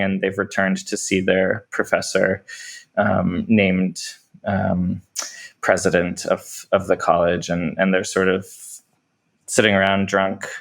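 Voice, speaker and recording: Guy with a lowish voice talking about his writing, kinda halting, keeps rephrasing as he thinks. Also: gravelly, a bit bright. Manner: hesitant, searching, self-correcting phrasing. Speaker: playwright. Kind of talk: thoughtful discussion interview.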